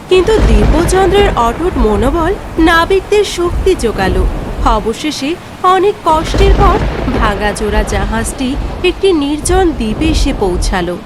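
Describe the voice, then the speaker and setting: Female storyteller: high, expressive pitch, scripted fairy-tale narration, faint music bed.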